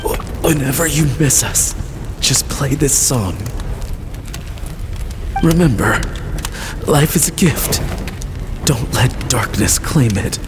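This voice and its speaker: An actor playing a wounded, loving father in a video game drama, speaking tenderly and urgently with halting effort. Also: mid-range voice, animated, background noise. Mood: tender, sorrowful. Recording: reverberant, music behind.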